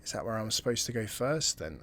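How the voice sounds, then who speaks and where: A man's voice: lowish voice, a little fast, slight background noise. Chatty man commentating a game. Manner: chatty, excitable, thinks aloud while playing. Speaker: gameplay streamer. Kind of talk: casual let's-play commentary.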